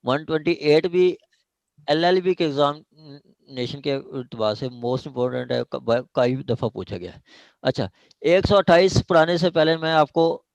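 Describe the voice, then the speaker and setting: A man's voice: mid-range voice, a little mellow, no room sound, rough recording. A man with a Pakistani accent lecturing an online law class, repeating points, checking understanding and mixing in English legal terms.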